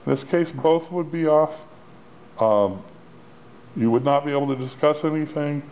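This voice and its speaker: A man's voice: on the high side, moderate pace. A man giving a plain-spoken, step-by-step instructional walkthrough of a product as its demonstrator. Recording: dull lo-fi sound with clear background noise.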